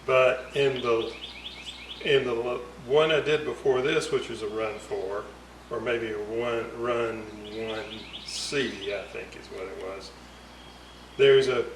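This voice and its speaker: A man's voice: mid-range voice, steady tone, takes it slowly. A man giving a casual, unscripted technical explanation as a hobbyist restorer, thinking aloud hesitantly in broken phrases. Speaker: hobbyist radio restorer presenting a repair series. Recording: roomy, distant mic, clear ambient noise.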